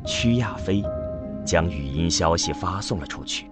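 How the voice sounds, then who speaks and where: A man's voice: deep voice, animated, normal speed. A man reading dramatic scripted narration for a science fiction audio drama. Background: background music.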